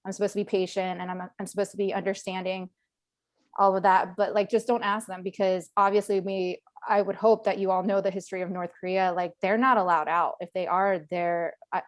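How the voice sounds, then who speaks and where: A woman's voice: medium pitch, no echo. Woman, veteran panelist, chatty, lots of fillers, dry close sound, video call. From Northern Virginia, USA. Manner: chatty, many fillers and restarts. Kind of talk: casual webinar discussion.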